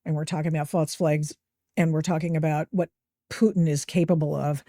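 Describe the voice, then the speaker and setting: A woman's voice: low voice, fairly even intonation, a little fast, mellow, no room sound, clean studio sound. A middle-aged woman hosting a podcast interview, chatty and emphatic, with frequent restarts. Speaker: podcast host. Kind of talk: casual interview chat.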